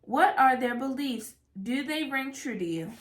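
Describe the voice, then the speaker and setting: A woman reading a rhyming children's picture book aloud in a measured, careful way. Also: high voice, animated, fairly bright tone. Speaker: read-aloud reader. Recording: echoey room.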